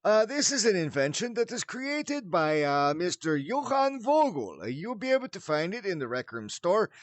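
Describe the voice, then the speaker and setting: Male tutorial host, high voice with big swoops, showy and mock-formal yet folksy, recorded dry. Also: very animated, normal speed, dry recording. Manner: theatrical, mock-formal wording mixed with folksy slang. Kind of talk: casual spoken game tutorial with showy greeting.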